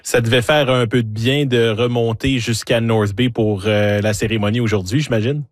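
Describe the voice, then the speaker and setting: A man's voice: mid-range voice, steady tone, moderate pace, no room sound, studio quality. A man with a Canadian French accent working as a news interviewer, asking conversational questions with some hesitant rephrasing.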